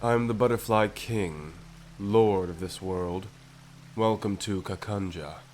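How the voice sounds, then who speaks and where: A man voicing a courtly, composed Butterfly King in an ASMR story roleplay, speaking in a regal, formal and deliberate manner. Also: on the low side, darkish tone, slight background noise. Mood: regal, intrigued.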